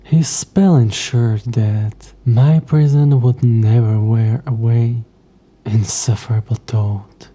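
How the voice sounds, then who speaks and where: A man voicing a smug, coy, centuries-old demon in an audio roleplay, speaking in a hushed, breathy voice with haughty, old-fashioned, taunting phrasing. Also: mid-range voice, fairly even intonation, takes it slowly. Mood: puzzled, indignant. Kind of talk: scripted audio roleplay addressed to the listener.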